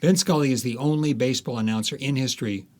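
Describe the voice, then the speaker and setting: A man's voice: medium pitch, fast, darkish tone. An American man in his seventies, an author being interviewed, telling anecdotes in an emphatic, enthusiastic way. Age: 70s. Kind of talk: reminiscing, anecdotal interview talk.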